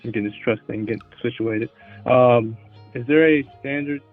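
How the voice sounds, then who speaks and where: A man calling in with questions, hesitant and halting, full of ums and uhs. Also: mid-range voice, no echo, narrow, tinny audio, soft background music. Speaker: caller. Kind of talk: phone-in questions.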